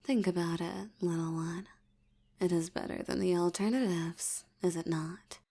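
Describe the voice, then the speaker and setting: A woman voicing a dominant, aristocratic vampire elder in an intimate audio roleplay, teasing and menacing, with a breathy voice that often drops toward a whisper. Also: on the low side, fairly even intonation, dark tone. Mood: calculating, possessive. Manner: slow, teasing, menacing, with lingering pauses.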